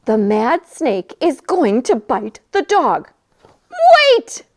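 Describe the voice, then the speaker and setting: A woman's voice: fairly high pitch, sing-song, takes it slowly, a little mellow. A woman presenting a children's library storytime, chatting and reading aloud in a playful, enthusiastic way.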